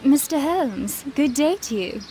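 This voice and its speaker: A woman's voice: sits high, animated, unhurried, music in the background. An actress playing a guarded, defiant Victorian woman suspected of murder in a video game. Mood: cool defiance. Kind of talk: video game cutscene acting.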